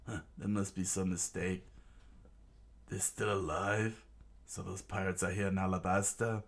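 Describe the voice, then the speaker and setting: A man's voice: deep voice, flat delivery, a little slow. A man voicing an arrogant, scornful male pirate villain with a haughty manner in a told adventure story. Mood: incredulous. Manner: haughty, contemptuous.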